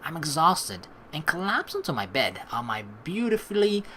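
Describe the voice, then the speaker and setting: A woman's voice: deep voice, sing-song, moderate pace, sharp tone, a little hiss or hum. A woman let's play streamer reading game text aloud and adding chatty commentary.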